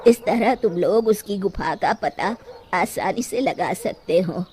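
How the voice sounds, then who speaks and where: Woman voicing a slow, solemn holy sage. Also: medium pitch, warm tone, voice pushed down, lightly acted. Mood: solemn, instructive.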